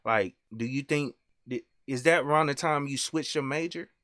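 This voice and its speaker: A man's voice: mid-range voice, normal speed, sharp tone, no room sound, studio quality. A young man hosting a live-stream interview, who asks loose questions that often trail off, with a habit of saying "you know what I'm saying.". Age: young adult. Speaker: host.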